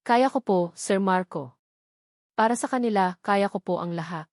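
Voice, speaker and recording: A woman's voice: medium pitch, moderate pace, no room sound, clean studio sound, close to an everyday voice. A woman narrator voicing an anxious but defiant young single mother in a romance story, deferential and determined.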